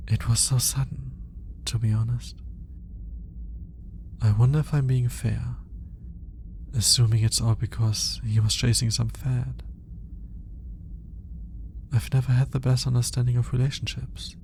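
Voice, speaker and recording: Man playing a slow-talking, gravelly alien scientist. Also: mid-range voice, level tone, darkish tone, slight background noise. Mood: reluctant, wistful. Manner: hesitant, formal, articulate musing with sighs and pauses. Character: awkward, analytical male alien scientist, shyly smitten. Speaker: voice actor. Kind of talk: intimate ASMR roleplay, scripted.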